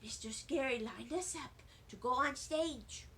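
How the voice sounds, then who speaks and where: A woman's voice: high voice, measured pace, bright, forceful tone. A woman reading a children's book aloud, narrating in a chatty, wide-eyed first-grader voice. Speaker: storybook reader.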